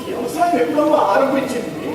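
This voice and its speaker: Actor as high-pitched downtrodden village man, echoey hall. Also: brisk, a crowd in the background. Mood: bitter, aggrieved. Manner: declamatory, rhetorical questioning. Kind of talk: projected Telugu stage-play acting. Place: stage in a large hall. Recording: echoey hall from far off, music behind.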